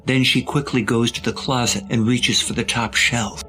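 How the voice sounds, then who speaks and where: A man narrating a scripted audio drama with deliberate, suspenseful storytelling and wry touches. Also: mid-range voice, fairly even intonation, pushed and bright.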